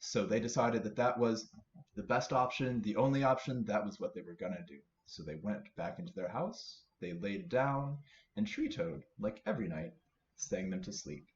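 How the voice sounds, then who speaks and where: A man's voice: on the low side, very animated, moderate pace. A man telling a story to children as a library storyteller, with playful repetition and emphasis. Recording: roomy, slightly dull recording with faint noise.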